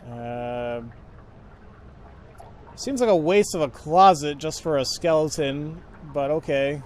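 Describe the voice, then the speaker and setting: A man giving casual, halting let's play commentary, informally thinking aloud as he goes. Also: a little high, slight background noise. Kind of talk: casual video game commentary.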